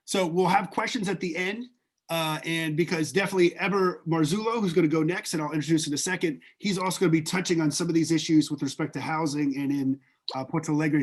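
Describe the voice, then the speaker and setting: A man moderates an online class in an easygoing, chatty manner. He talks over a video call and fills his sentences with ums and you-knows. His voice sits slightly above the middle range. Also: fairly high pitch. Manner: relaxed, conversational, uses filler words. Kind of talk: casual lecture introduction.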